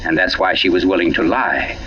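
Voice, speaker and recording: An actor playing an urbane, pompous gentleman writer turned sleuth in a 1940s radio mystery, teasing and deliberate in his delivery. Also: low-pitched, steady tone, a little hiss or hum. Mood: confiding, measured. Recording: dull lo-fi old radio broadcast.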